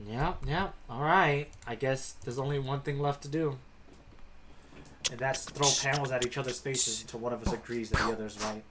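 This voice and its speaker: A man's voice: mid-range voice, moderate pace, noisy background. A man co-hosting a podcast in casual, playful, jokey banter about manga. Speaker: podcast co-host. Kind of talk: casual comedic manga discussion.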